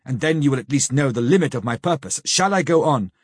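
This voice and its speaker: A man voicing an old male professor who speaks gravely, sternly and with pity, in slow, solemn, pleading phrases for an audiobook. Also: mid-range voice, animated, no room sound, studio quality. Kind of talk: audiobook character reading.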